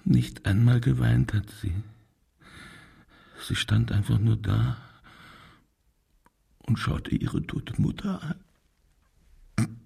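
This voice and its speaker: Murderer in a radio crime drama, husky voice, mid pitch, halting, evasive, self-pitying, defensive. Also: male voice, steady tone, warm tone, full character voice. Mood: hushed, bleak. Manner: halting, defensive, wavering between muted and agitated. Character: confessing murderer, self-pitying, evasive, bigoted German man.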